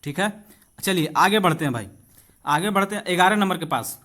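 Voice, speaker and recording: A man's voice: high voice, expressive, pushed and bright. A man with an Indian accent teaching an exam-prep lesson, reading questions aloud and explaining them directly to students in an instructive way. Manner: instructive, addresses students directly, slows down when reading out English.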